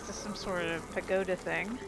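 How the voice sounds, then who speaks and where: A woman giving casual, relaxed game walkthrough commentary, chatting and musing aloud as she plays. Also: fairly low pitch, brisk, gravelly. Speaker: gaming video host. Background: game music.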